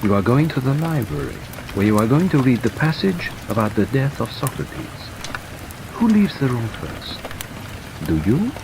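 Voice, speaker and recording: A man voicing an authoritative male detective who speaks in a measured, commanding way. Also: medium pitch, creaky. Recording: steady rain noise behind.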